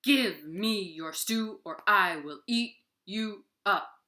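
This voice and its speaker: A woman storyteller voicing a big, boastful male monster who demands stew, loud and slow. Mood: menacing. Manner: booming, slow and menacing.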